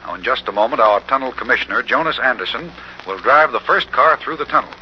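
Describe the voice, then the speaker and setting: An American man playing an earnest, excitable on-the-scene reporter in a 1940s radio drama, announcing formally in a projected voice. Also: medium pitch, quick pace, close to an everyday voice. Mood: upbeat, formal. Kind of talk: 1940s radio drama, live news commentary. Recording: muffled old radio, faint noise.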